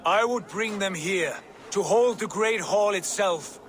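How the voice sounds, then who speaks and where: An actor playing a resolute Norse warrior god in a video game, speaking formally and urgently. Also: male voice, high-pitched, unhurried, a bit bright. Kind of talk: dramatic video game acting.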